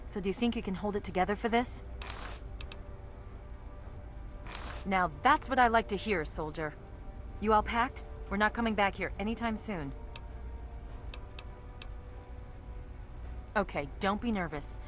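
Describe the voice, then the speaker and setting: A woman playing a confident, battle-hardened soldier leading her squad in a video game, brisk and commanding with a wry edge. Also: mid-range voice, fairly bright tone, soft background music, close to an everyday voice. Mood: friendly, wry.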